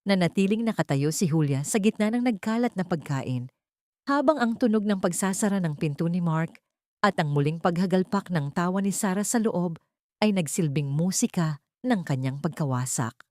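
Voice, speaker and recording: A woman narrating a dramatic audiobook in a steady, somber storytelling manner. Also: fairly low pitch, normal speed, dry recording, clean studio sound.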